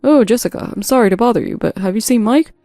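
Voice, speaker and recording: A polite, stern older man, a father-in-law and boss in an audio story, as a woman performs him. The voice is mellow and dark, with a dry sound and faint music behind. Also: a little high, quick pace, no room sound, pitched above the performer's own voice, lightly acted. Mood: polite, concerned. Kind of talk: scripted audio story dialogue.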